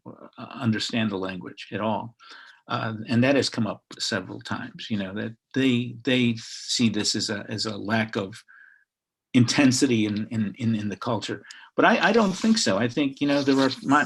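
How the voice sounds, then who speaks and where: A man's voice: medium pitch, slightly rough. An older man from Chicago, speaking reflectively on a video call panel, telling stories with frequent restarts. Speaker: panelist author.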